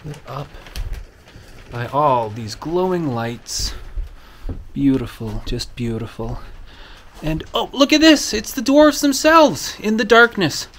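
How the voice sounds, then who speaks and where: A man's voice: on the high side, sing-song, slow, pauses often, a bit bright, a little hiss or hum. Playful man narrating a night trail walk. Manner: theatrical, storybook-like exclamations. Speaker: host giving a guided walk-through.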